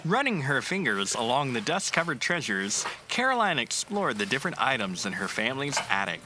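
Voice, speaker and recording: A man narrating a children's picture book aloud in an expressive, storytelling way. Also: medium pitch, a little fast.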